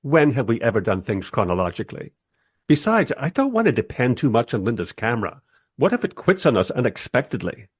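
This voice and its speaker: An actor playing a wry, curious time traveller who narrates a radio play in the first person and speaks in its dialogue. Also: male voice, mid-range voice, very animated, fairly quick, breathy edge, no echo, studio quality. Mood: casual, persuasive. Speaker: narrator and lead character.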